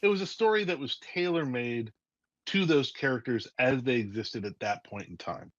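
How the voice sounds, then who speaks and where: A man, a writer interviewed as guest, telling stories in a lively way and acting out scenes. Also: mid-range voice, normal speed, no room sound, dull audio. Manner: lively, acts out scenes with voices. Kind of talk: animated storytelling in a casual interview.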